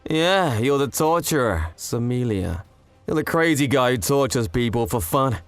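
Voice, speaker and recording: A man's voice: mid-range voice, lively intonation, moderate pace, no echo, soft background music, natural voice. A man voicing a cocky, gleeful knife assassin in a manga story, taunting and manic.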